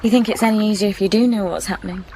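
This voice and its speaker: An actress playing a fragile, guarded young widow in a radio drama, with a halting, emotional delivery. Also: female voice, mid-range voice, fairly even intonation, background noise, close to an everyday voice. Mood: sad, pleading. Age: young adult. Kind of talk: naturalistic radio drama acting.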